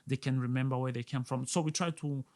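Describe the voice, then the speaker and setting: A man's voice: mid-range voice, fairly even intonation, fast, darkish tone. Earnest man from Burundi. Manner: earnest, repeats words when stressing a point. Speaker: restaurant owner, guest. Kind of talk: casual interview talk.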